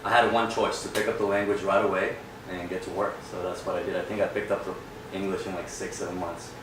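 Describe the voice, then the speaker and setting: A man's voice: low-pitched, level tone, fast. A young man from Peru, a real estate agent guest, telling his story in an earnest, reflective way. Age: young adult. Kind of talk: casual interview. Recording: echoey room, far from mic, noisy.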